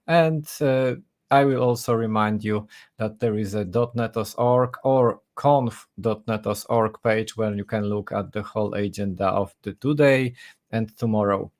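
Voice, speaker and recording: Guy opening a live stream, lowish voice, keeps restarting his sentences. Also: darkish tone. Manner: halting, with many restarts. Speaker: conference host.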